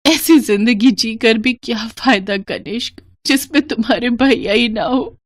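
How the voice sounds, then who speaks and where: A woman's voice: on the high side, unhurried, no echo, studio quality, pitched above the performer's own voice, lightly acted. A woman voicing an earnest, emotional young daughter-in-law in a family storybook drama. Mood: grieving. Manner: earnest, pleading.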